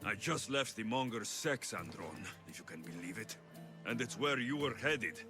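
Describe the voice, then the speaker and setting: Man acting a blunt, lively-pitched mercenary. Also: moderate pace, close to an everyday voice. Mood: grave. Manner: firm, direct, terse. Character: confident Greek mercenary man, protective, blunt, calm. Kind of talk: video game cutscene acting. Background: background music.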